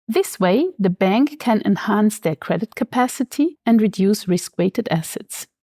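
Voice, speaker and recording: A woman's voice: mid-range voice, lively intonation, mellow, dry recording, clean studio sound. Swiss woman explaining banking matters. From Switzerland. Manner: measured, precise, explanatory. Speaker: interviewed guest, banker. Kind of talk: explaining finance in an interview.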